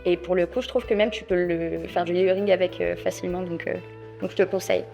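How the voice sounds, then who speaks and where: A woman contestant pitching a product to judges off the cuff, with lots of fillers and a chatty, persuasive manner. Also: steady tone, fast talker, vocal fry, a little mellow, faint music behind. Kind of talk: improvised sales pitch.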